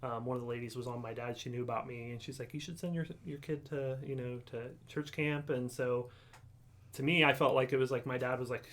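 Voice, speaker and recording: A man in his forties from Ohio, telling his faith story in a halting, reflective way with many pauses and ums. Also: medium pitch, fairly even intonation, fairly bright tone. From Ohio, USA. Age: 40s. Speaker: guest sharing testimony. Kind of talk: casual conversational testimony.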